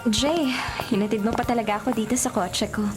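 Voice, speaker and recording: A woman's voice: on the high side, fairly even intonation, fairly quick, natural voice. An actress playing a sweet, caring young college woman with a Filipino accent in a romantic radio drama. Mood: shy, pleased. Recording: music under the voice.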